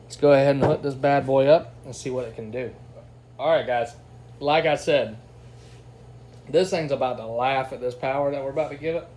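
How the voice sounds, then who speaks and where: A man's voice: mid-range voice, normal speed, a little mellow. A man with an enthusiastic, slangy, folksy way of talking, giving a casual product review as host. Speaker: host of product unboxing and test video. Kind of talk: casual unboxing review chat. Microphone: phone's built-in microphone. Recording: noisy phone camera recording.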